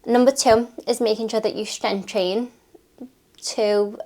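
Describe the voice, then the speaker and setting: A woman's voice: fairly high pitch, fairly even intonation, unhurried, warm tone. A British woman in her thirties, a nutritionist and personal trainer, chatting unscripted to the camera while sniffly and chesty from a cold. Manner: chatty, rambling, listing things off. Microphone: talking to camera.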